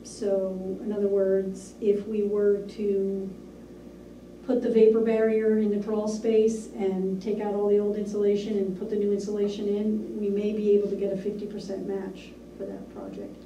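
A woman reporting to a town board in a measured, businesslike way with frequent ums, recorded in a small municipal meeting room by a distant camera mic. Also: medium pitch, dark tone, reverberant, a little hiss or hum. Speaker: town administrator. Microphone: far room camera.

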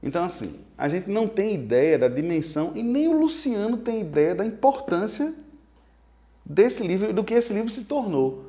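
A middle-aged man in a documentary interview, telling his story in an animated, emphatic way and making comparisons, recorded indoors on a studio set. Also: sits high.

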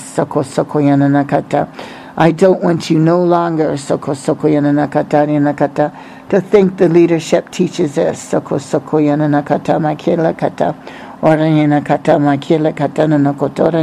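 A man's voice: on the high side, vocal fry, warm tone. A man preaching a religious prophecy, breaking short phrases up with repetitive, incantatory chanting in tongues, over some background noise.

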